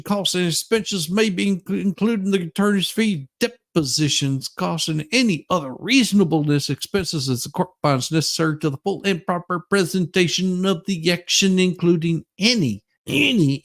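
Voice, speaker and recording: A man's voice: sits high, expressive, moderate pace, hardly any pauses, a bit bright. A man reading a legal document aloud in a casual, dramatic style, with irreverent wisecracking asides. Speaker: co-host reading a court ruling aloud.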